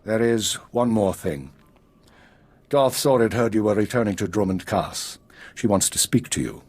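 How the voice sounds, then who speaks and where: An actor playing a guarded intelligence chief in a video game, measured, formal and authoritative. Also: male voice, fairly low pitch, animated, a little mellow, slightly rough. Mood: grave. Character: grave, guarded male intelligence spymaster. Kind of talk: video game drama acting.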